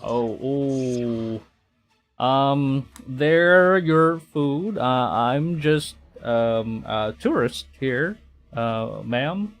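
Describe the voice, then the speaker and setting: A man's voice: medium pitch, drawn-out words. A man from the Philippines streaming a game, reacting wryly with stammers and reading the text aloud. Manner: hesitant, stammering, wry reactions. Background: game music.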